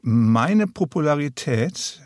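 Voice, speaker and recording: A man's voice: on the high side, expressive, takes it slowly, darkish tone, no echo, clean studio sound. A middle-aged man chatting as an interview guest, with a wry, self-deprecating way of joking. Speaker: interview guest, audiobook director and author. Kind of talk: casual conversational interview.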